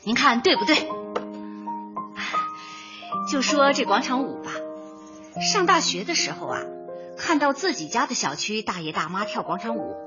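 A young female community director in a radio comedy, high-voiced and very animated. She is earnest and tactful, coaxing people as if giving a rousing little speech. The audio is slightly dull, with music playing behind her. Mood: earnest, explaining. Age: young adult. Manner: eager, coaxing, speechifying in slogans. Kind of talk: radio drama acting, light comedy.

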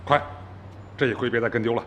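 An actor playing a firm, businesslike police captain in a radio drama, speaking in an official, commanding manner. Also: male voice, mid-range voice, fast talker, noisy background, lightly acted. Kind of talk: Chinese radio drama acting. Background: music.

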